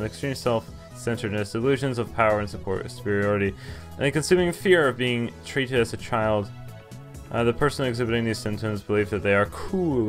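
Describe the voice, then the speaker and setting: Low-pitched young man commenting casually. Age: young adult. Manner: halting, stumbling while reading. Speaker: game streamer. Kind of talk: casual playthrough commentary, reading on-screen text aloud. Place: indoor room, webcam recording.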